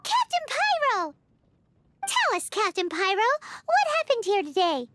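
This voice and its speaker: A tiny, excitable female sprite who chatters and teases without pause. Her voice sits extremely high and bounces all over in a sing-song way. Game character dialogue. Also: noisy background, full character voice. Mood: teasing. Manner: excitable, teasing, quick to chatter.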